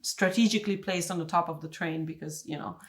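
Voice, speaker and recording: Lively Italian woman telling stories. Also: fairly low pitch, fast. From Italy. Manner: animated storyteller, lots of fillers. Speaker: podcast co-host. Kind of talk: casual chat.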